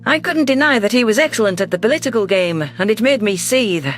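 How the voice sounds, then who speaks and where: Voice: mid-range voice, expressive, fairly quick, a bit bright. Scripted sci-fi narrator with faint music. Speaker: story narrator.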